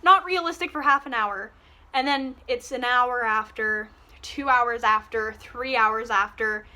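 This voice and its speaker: A woman's voice: on the high side, a little slow, noisy background. Sarcastic young woman ranting about a book. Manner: exasperated, sarcastic, swears freely. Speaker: book reviewer. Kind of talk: casual rant to camera.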